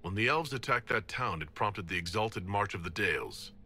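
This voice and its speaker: A grave fantasy game companion with a very deep, bright-edged voice. He speaks in a measured, serious way, over noisy game audio. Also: lively intonation. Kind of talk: scripted video game dialogue.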